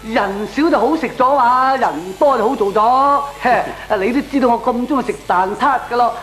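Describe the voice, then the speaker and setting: A man's voice: very high-pitched, moderate pace, a bit bright. An actor playing a chatty, good-natured man who loves food, in comic Cantonese radio drama with a Hong Kong accent. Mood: eager, greedy. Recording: echoey old radio drama recording.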